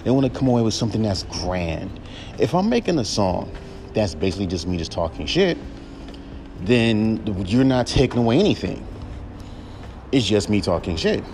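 Blunt baritone young man ranting. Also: moderate pace, long pauses, slight background noise. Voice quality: baritone, droll monotone. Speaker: podcast host and rapper. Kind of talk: solo podcast rant.

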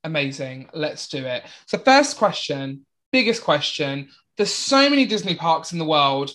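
A woman's voice: deep voice, big pitch swings, unhurried, a bit bright. A British woman from the UK hosting a podcast interview, bubbly and gushing. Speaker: podcast host.

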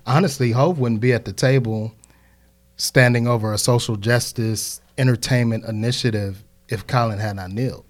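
A man's voice: mid-range voice, steady tone, moderate pace. A man in his thirties in a casual group discussion, building reasoned points with frequent hedges. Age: 30s.

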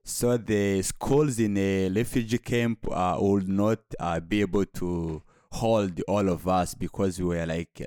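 Soft-spoken young man. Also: low voice, steady tone, takes it slowly, hardly any pauses, smooth, no room sound, clean studio sound. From Rwanda. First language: Kinyarwanda. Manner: soft-spoken, hesitant with repeated restarts. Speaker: guest. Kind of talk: casual interview conversation.

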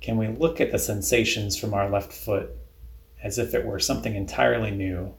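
A man leading a guided body scan meditation in a calm, deliberate, instructional way. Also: lowish voice, creaky, roomy sound.

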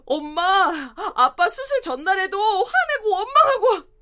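An actress playing a sharp-tongued young adult daughter who nags her mother and narrates her regret. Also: very high-pitched, sing-song, unhurried, dry recording, clean studio sound, full character voice. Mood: accusing tears. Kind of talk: TV drama acting with inner narration.

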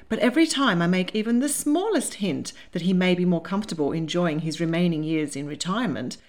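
A woman's voice: medium pitch, lightly acted. A woman voicing a fond, fretful, mature genteel lady and mother in a storybook, effusive and fussing.

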